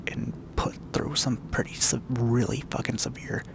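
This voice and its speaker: A man speaking in a hushed, halting, unscripted monologue, breathy and gravelly, with frequent pauses. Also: medium pitch, little pitch movement, background noise. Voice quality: breathy whispering with gravelly fry.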